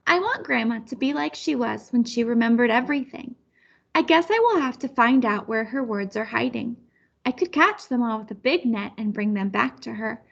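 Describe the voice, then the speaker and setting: High-pitched woman reading a children's story. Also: expressive, normal speed, creaky, fairly bright tone, lo-fi sound. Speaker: storybook reader. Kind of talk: read-aloud children's story narration.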